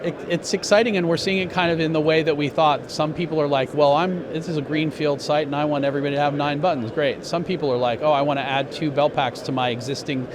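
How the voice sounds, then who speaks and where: A man giving an interview as a company executive, explaining products in a measured way with pauses mid-phrase. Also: fairly high pitch, steady tone, background noise. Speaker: company president being interviewed.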